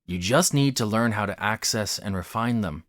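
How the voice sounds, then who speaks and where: A man's voice: on the low side, normal speed, fairly bright tone, no echo, clean studio sound. A man delivering a scripted video essay as a host, in an articulate, instructive and emphatic way. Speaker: host of a theatre commentary channel.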